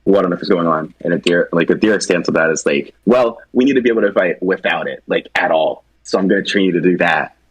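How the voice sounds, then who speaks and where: A man's voice: low-pitched, quick pace, hardly any pauses, breathy edge, poor audio. A man talking informally and conversationally, co-presenting a creative project in unscripted discussion.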